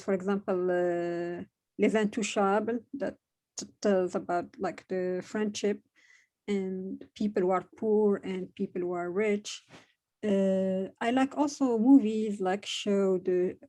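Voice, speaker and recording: A woman from Morocco whose first language is Moroccan Arabic, speaking English with a Moroccan accent. She answers interview questions in a hesitant, casual way, with frequent pauses and fillers. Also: mid-range voice, warm tone. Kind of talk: conversational interview.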